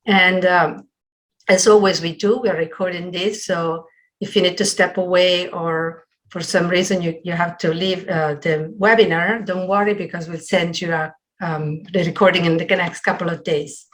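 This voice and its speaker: A middle-aged woman hosting a webinar on a video call from a small room at home, introducing things in a halting, partly read way. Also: fairly low pitch, steady tone. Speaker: webinar host. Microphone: computer video call.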